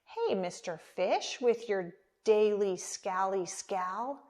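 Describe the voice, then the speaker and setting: Woman voicing a gentle male jellyfish. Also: mid-range voice, slow pace, close to an everyday voice. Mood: chiding.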